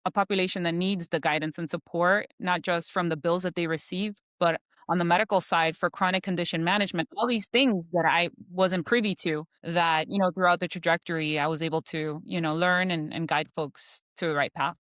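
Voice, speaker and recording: A woman guest chatting casually in an interview, talking freely and filling pauses with "you know". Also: lowish voice, steady tone, fairly bright tone, no room sound. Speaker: guest, insurance professional.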